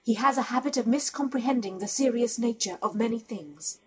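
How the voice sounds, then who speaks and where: Man putting on a breathy, nervous female android. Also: very high-pitched, fairly quick, fairly bright tone, voice pushed up, heavily acted. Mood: apologetic. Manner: apologetic, formal.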